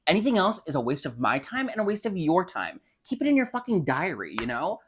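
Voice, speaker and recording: A woman's voice: fairly low pitch, very animated, a little fast, slight background noise. A young woman co-hosting a podcast in casual chat, scathing and foul-mouthed, full of slang as she rants. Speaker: podcast co-host.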